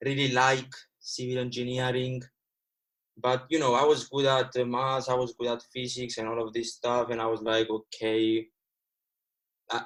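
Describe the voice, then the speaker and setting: A man's voice: medium pitch, fairly bright tone, poor audio. A man in his twenties from Cyprus whose first language is Greek, speaking casually and earnestly to livestream viewers as a guest trader. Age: 20s. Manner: earnest, repeats himself, addresses viewers as guys.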